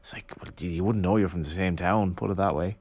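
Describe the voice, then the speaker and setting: Irish man from galway, co-host, fairly low voice, chatty, interrupts playfully. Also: steady tone, fast, darkish tone, no echo. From Galway, Ireland. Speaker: radio sports co-host. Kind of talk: casual studio banter.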